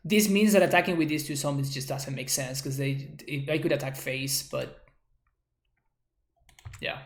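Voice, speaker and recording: A man's voice: medium pitch, steady tone, moderate pace. A man from Argentina, streaming a card game and thinking aloud as he works through his plays. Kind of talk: live card game commentary. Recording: roomy echo.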